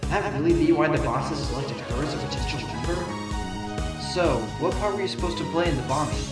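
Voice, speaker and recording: A man's voice: fairly high pitch, fairly quick, reverberant, loud music behind the voice, close to an everyday voice. A man playing a determined, sly fox defense attorney in a fan audio drama, speaking emphatically and theatrically. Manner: theatrical, emphatic, bursts into objections.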